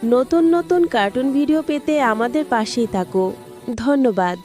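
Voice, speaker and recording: Fairly high-voiced woman storyteller. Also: measured pace, dark tone, faint music behind. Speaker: narrator. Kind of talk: scripted storytelling for a children's cartoon.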